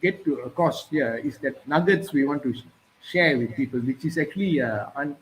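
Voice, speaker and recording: A man hosting a casual conversation, speaking hesitantly with many pauses and fillers. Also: a little high, a little hiss or hum, rough recording.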